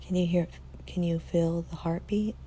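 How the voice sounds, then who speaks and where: Female meditation guide: mellow, relaxed, slightly low voice, gentle and soothing delivery with unhurried pauses. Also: a little hiss or hum. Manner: soft, soothing, with long pauses.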